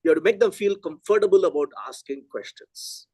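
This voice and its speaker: A middle-aged man from India, a Telugu native, giving a physics lecture in an animated, conversational way and thinking aloud. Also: high-pitched, fairly quick, husky, no room sound.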